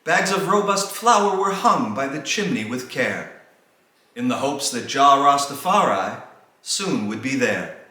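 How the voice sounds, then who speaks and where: A man recites a rhymed holiday poem like a storyteller, dramatic and sing-song. His bright voice swings widely in pitch. The recording is heavily reverberant. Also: very animated, unhurried. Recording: very echoey, reverberant room sound.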